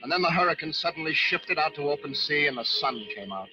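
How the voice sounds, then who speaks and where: Desperate lighthouse keeper , male actor, high intense voice, dramatic storytelling, muffled vintage radio sound with music behind. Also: expressive, fast talker, lightly acted. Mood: drained, somber. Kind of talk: old-time radio drama acting.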